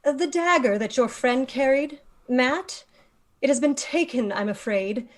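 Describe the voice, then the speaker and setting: Casting: woman to play fantasy matriarch, higher voice, authoritative and composed, table-read drama. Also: animated, unhurried. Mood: measured authority. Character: commanding, composed female leader of a magical order.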